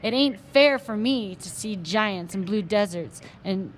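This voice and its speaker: Woman doing a shouting Texas cowboy. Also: medium pitch, lively intonation, unhurried, a little hiss or hum, close to an everyday voice. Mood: angry. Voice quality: loud, drawling. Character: tiny gruff cowboy man, bitter, hard-drinking.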